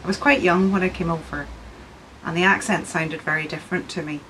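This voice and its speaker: A woman's voice: on the low side, fairly even intonation, brisk, a bit bright, a little hiss or hum, poor audio. A middle-aged woman from Scotland who speaks with a Northern Irish accent, an artist patiently explaining a craft workshop step by step. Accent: Northern Irish with faint Scottish traces. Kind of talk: instructional craft tutorial. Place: indoor room with storage shelves.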